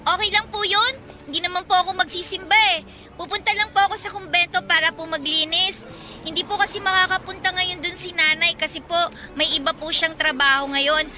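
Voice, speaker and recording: A woman's voice: very high voice, steady tone, fairly quick. An actress playing a polite, deferential young village girl with a childlike thin voice in a Filipino radio drama. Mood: polite, hesitant.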